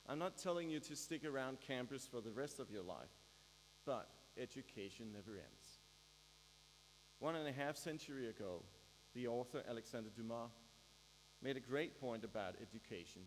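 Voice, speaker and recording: A man with a Danish accent gives a prepared graduation speech, measured and with dry humor. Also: mid-range voice, animated. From Denmark. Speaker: graduation speaker. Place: large ceremony hall. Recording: reverberant hall with faint mains hum.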